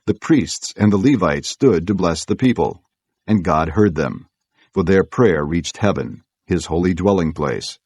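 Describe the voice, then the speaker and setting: A man reading scripture as an audiobook narrator, in a measured, solemn way. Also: sits low, animated, darkish tone, husky, no room sound.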